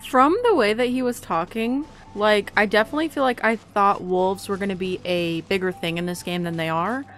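Chatty young woman, medium pitch, gaming commentary. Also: normal speed, fairly bright tone, faint music behind. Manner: chatty, rambling. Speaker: gaming YouTuber. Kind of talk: casual let's-play commentary.

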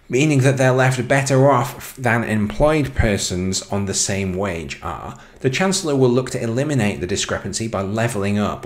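Male presenter, lower register, measured scripted explainer read with announced points. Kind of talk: scripted explainer narration over slides.

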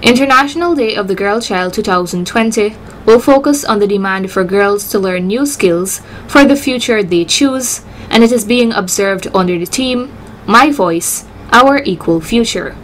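A woman's voice: medium pitch, animated, moderate pace, slight background noise, low-quality recording. A twenty-year-old woman, reporting outdoors into a handheld mic and speaking firmly and earnestly. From Guyana. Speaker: reporter and agronomy student. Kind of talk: news report and interview answers. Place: outdoors by a building.

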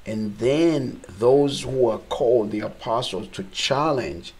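A man's voice: medium pitch, slow pace, crowd noise. Earnest man from Uganda. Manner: earnest, builds to emphatic. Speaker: missionary guest. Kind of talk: panel discussion. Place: small indoor studio set.

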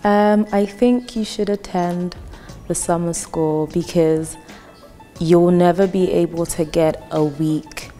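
Low, mellow-voiced young woman. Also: fairly even intonation, takes it slowly. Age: 20s. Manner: casual, chatty with fillers. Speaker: student. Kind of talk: testimonial interview. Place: indoor hall with wooden wall. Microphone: lapel. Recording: reverberant hall with light music.

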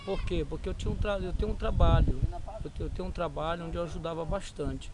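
A middle-aged man answers interview questions informally and haltingly, repeating phrases, recorded outdoors on a camera. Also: fairly high pitch, steady tone, heavy background noise. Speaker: amateur sports organizer being interviewed. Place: open-air football ground. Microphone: camera at close range.

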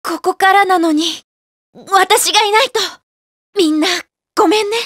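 A woman's voice: extremely high pitch, unhurried, no echo, clean studio sound. A woman playing a spirited, determined young female martial artist in a video game, calling out punchy battle lines. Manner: energetic, punchy shouts and short battle cries.

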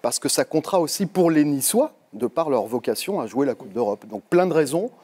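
A man's voice: fairly high pitch, animated, fairly quick, warm tone. A man giving analysis as a pundit in a TV studio debate, with many hesitations.

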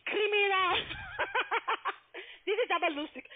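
Tinny phone call, high voiced woman w/ foreign accent, pushy call center agent arguing in halting german. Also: over a noisy crowd. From Saudi Arabia. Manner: insistent, pushy, halting non-native German. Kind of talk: argumentative phone conversation. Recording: tinny, narrow phone line.

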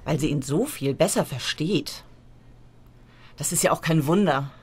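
An actress plays a hurt, freshly dumped young woman in a radio play. Her voice is very low for a woman, and she speaks in a hesitant, confiding way. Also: animated, unhurried, darkish tone, slight background noise. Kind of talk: audio drama acting.

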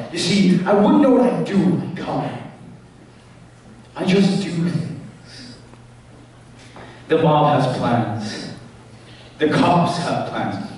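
In a very echoey hall, heard from far off, a young man performs an unhinged male clown villain in a stage monologue. He is sinister and taunting, drawing lines out slowly with menacing pauses and lively swings in pitch. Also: slight background noise. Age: teenager or young adult. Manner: menacing, dramatic pauses, sudden swells and drops. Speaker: talent show performer. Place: school auditorium stage.

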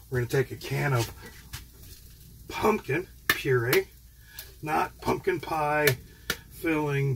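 A man hosting a home cooking show, explaining each step in a relaxed, halting way with many fillers. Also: mid-range voice, noisy background. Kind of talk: casual cooking demonstration. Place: home kitchen, indoors.

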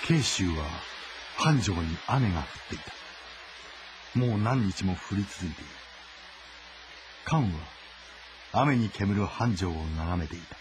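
Low-voiced, solemn male narrator. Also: expressive, noisy background. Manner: solemn, measured. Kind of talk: scripted dramatic narration of historical game.

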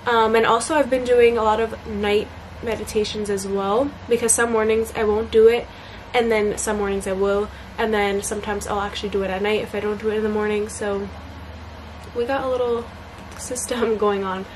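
A woman's voice: mid-range voice, flat delivery, normal speed, a little hiss or hum, poor audio. A young woman in her twenties chatting casually to camera from her bedroom, bubbly and full of fillers. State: congested. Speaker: vlogger and college student. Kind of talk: casual vlog chat to camera. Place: small bedroom indoors. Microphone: camera at arm's length.

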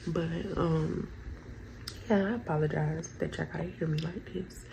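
A woman's voice: low voice, steady tone, measured pace, gravelly, background noise. Stuffy-nosed woman chatting to camera. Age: young adult. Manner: chatty, rambling, addresses viewers as y'all. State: stuffy nose. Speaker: vlogger. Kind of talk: casual get-ready-with-me chat. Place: bedroom at home, indoors.

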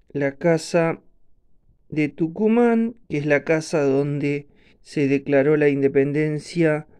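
A man with an Argentine Spanish accent hosting an informal explainer video, speaking haltingly and often repeating himself. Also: a little high, warm tone, clear, resonant tone. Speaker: coin channel host. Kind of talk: informal explainer narration to camera.

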